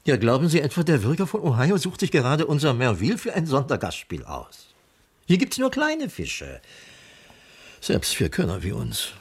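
An actor playing a boastful, flippant small-town private detective with self-important, wry patter in a radio crime play. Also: male voice, mid-range voice, wildly swooping pitch, normal speed, slightly rough, full character voice. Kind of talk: 1980s German radio crime play acting.

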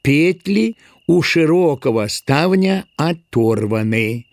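A man narrating a literary audio drama in a measured, expressive storytelling style. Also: a little high, dry recording, clean studio sound.

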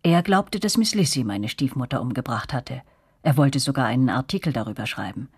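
A woman's voice: extremely low pitch, lively intonation, fairly quick, slightly rough, exaggerated voice. A woman playing a first-person narrator recalling her girlhood in a scripted, reflective radio drama narration. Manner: reflective storytelling.

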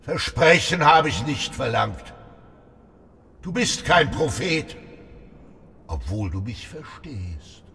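An actor playing a brooding, stubborn old whale in a literary radio drama, speaking solemnly and deliberately. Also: male voice, medium pitch, very animated, gravelly, fairly bright tone, big-room sound, faint music behind, exaggerated voice. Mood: stubborn, distrustful. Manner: solemn, slow, deliberate, retelling and questioning.

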